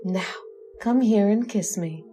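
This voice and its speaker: Casting: a woman to play a domineering witch wife for old-time radio horror, mid-pitched, sly, teasing and possessive. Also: measured pace, mellow, soft background music, lightly acted. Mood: sly menace. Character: bossy, jealous witch wife, coolly menacing and teasing.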